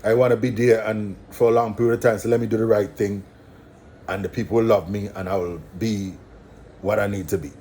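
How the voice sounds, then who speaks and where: A man with an Antiguan accent talks through his views in a loose, preachy monologue. His voice sits fairly low, and he hammers points home by repeating them. A faint hiss runs under the recording. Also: steady tone, brisk, warm tone. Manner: preachy, emphatic, repeats phrases for stress. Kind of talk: casual monologue.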